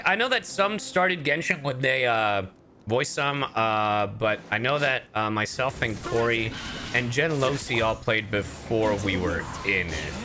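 A man chatting casually and swearing freely as he live-streams a game. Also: mid-range voice. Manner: animated, sweary. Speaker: streamer and voice actor. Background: video game music.

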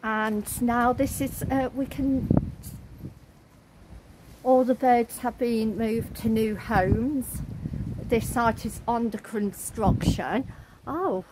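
A woman vlogger talking through a museum and garden on a walking tour, halting with many fillers. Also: a little high, steady tone, reverberant, background noise. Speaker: travel vlogger. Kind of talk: casual walking tour commentary. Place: indoor museum and outdoor garden.

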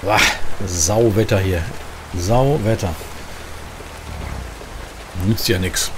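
A low-pitched man chats casually as he drives in a truck game. He sounds relaxed and thinks out loud, with clear vehicle noise behind his voice. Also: extremely slow. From Germany. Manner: chatty, relaxed, thinking aloud. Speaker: gaming video host playing a trucker. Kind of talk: casual role-play commentary while driving in a truck simulator. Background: truck simulator game sound.

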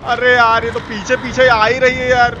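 A man with an eastern Indian Hindi accent giving casual, excitable, slangy gameplay commentary as a live-streamer over game sound. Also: very high-pitched, moderate pace, dull audio. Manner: excitable, crude slangy exclamations. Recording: noisy game sound behind voice. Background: horror game sound.

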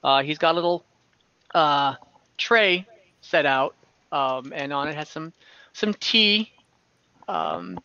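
A man narrating an online tabletop game as game master, casual, halting and lightly amused, improvising as he goes. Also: a little high, big pitch swings, pushed and bright, smooth, dry recording. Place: at home indoors on a group video call. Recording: slightly dull video-call audio.

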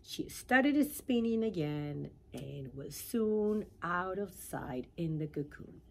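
A middle-aged woman reading a children's storybook aloud in an animated way, with dramatic pauses and questions to her listeners. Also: fairly low pitch, a little hiss or hum.

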